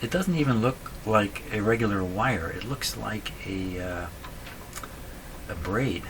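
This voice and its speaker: A man thinking aloud in a casual, halting way as he explains a repair at his workbench, often putting questions to himself. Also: lowish voice. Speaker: radio repair hobbyist, host. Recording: clearly noisy room recording.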